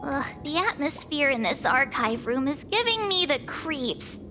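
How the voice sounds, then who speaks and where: Very high, cute girl companion. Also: very animated, a little slow, over music. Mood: uneasy. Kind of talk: anime-style video game acting.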